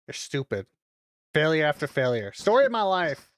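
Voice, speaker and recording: A woman's voice: sits low, sing-song, moderate pace, dry recording, studio quality. A woman streaming a video game, commenting casually with a lot of exasperated swearing and exclaiming. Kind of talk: casual live gameplay commentary.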